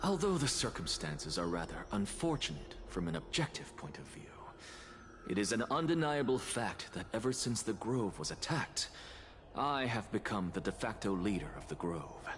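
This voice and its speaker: A man voicing a haughty heretic scholar in a video game, speaking in an eloquent, measured, condescending way. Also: on the low side, very animated, noisy background. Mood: cool calculation. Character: haughty male heretic scholar and academy leader.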